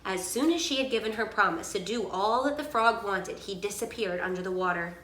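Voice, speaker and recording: A woman reading a storybook aloud to a child in an expressive storytelling style, in an echoey room. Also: mid-range voice, fairly quick, slight background noise.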